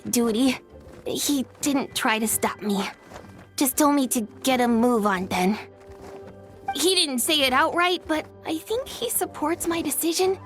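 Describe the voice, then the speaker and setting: Very high, earnest child voice. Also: female voice. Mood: hesitant. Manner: hesitant, sincere, youthful. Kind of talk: scripted English game voice acting. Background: soft background music.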